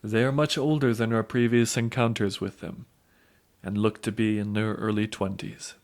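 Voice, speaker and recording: A man's voice: fairly low pitch, level tone, normal speed, lightly acted. A man playing a courteous priest and narrating an audio drama. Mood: courteous, measured.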